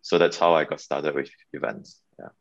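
A man in his forties describes his work in a plain, matter-of-fact manner. His voice is deep and low. The audio is dull and lo-fi. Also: steady tone, normal speed, creaky. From Malaysia. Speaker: event planning company owner, podcast guest. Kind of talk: casual interview answers.

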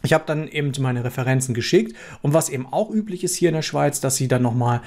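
A man's voice: fairly high pitch, fast, darkish tone. A middle-aged man from Germany with a standard Hanover accent, casually telling his own story to camera with lots of fillers and restarts. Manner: conversational, frequent fillers and restarts. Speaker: software developer vlogger.

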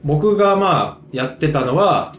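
A man's voice: fairly high pitch, expressive, unhurried, fairly bright tone. A man chatting casually about acting practice as a podcast host, often agreeing as he goes. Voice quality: stage actor. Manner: frequent backchannels and agreement. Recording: roomy echo.

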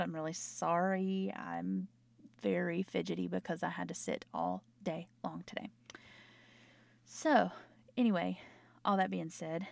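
A young woman with a North Carolina Southern accent, chatting casually and rambling, her voice slightly hoarse. She is a nurse talking into her own microphone in a small closet at home. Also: lowish voice, dry recording. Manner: rambling, chatty, self-interrupting. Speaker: nurse and podcaster. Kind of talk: casual late-night chat.

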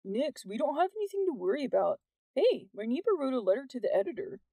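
A woman's voice: high voice, very animated, fast talker, dark tone, no echo, voice pushed up, exaggerated voice. A woman voicing a laid-back, unworried fifteen-year-old boy with a casual manner in an audiobook story. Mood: nonchalant. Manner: casual, nonchalant.

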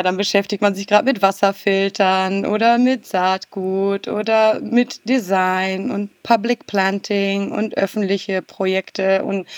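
A woman's voice: mid-range voice, no room sound. A woman in her thirties, a guest on a podcast, speaking over a video call and answering loosely with many "ähm" and easy laughs. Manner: relaxed, rambling, frequent "ähm", laughs easily. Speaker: guest, garden designer. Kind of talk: interview answers.

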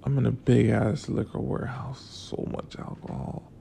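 A man's voice: mid-range voice, little pitch movement, moderate pace, gravelly, warm tone, slight background noise. A young man from Florida with a groggy morning voice, rambling casually and profanely about work and money. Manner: loose, profane, drifts between stories and life lessons. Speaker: vlogger.

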